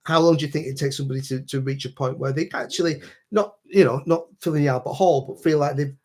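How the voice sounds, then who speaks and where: A man's voice: fairly high pitch, fairly even intonation, fast talker. A man hosting a live stream, asking questions with many fillers and repeated words. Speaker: host, guitar teacher. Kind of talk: casual live stream chat.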